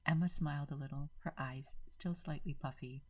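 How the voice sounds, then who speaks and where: A woman's voice: deep voice, steady tone, moderate pace, breathy edge, dull audio. A woman storyteller reading a dramatic first-person story as a channel narrator.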